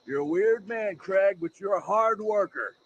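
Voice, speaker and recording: An actor playing a gruff junkyard boss who talks low and gruff. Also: male voice, a little slow, a little hiss or hum. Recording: dull lo-fi recording.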